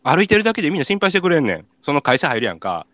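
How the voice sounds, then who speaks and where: A young man with a Kansai accent hosting a radio show, telling casual comic stories in an animated way with acted-out reactions. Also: a little high, racing, no room sound. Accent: Kansai Japanese. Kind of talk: casual comic storytelling chat.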